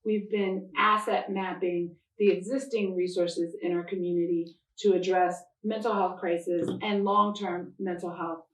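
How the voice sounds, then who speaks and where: A woman county prosecutor giving formal remarks at a public announcement in a measured, deliberate, official way. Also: on the low side, steady tone. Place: church interior. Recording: echoey room, distant microphone.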